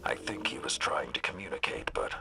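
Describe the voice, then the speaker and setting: An actor playing a grave, haunted old warrior mentor in a video game, speaking in a solemn, measured, gravelly way. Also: male voice, sing-song, background noise, exaggerated voice. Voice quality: very deep, gravelly.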